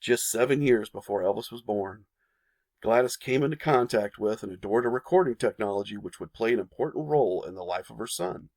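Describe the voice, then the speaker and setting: Man, gravelly voice, mid pitch, dry close mic, reads narrated history with casual viewer asides. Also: expressive, a little fast, darkish tone, no echo. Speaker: history channel host.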